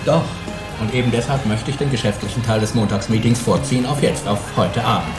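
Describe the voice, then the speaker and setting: A man playing a brisk, commanding business boss who takes charge of a meeting in a radio drama. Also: mid-range voice, lightly acted. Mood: urgent, confidential. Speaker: company boss chairing a meeting. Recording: roomy studio sound with music behind.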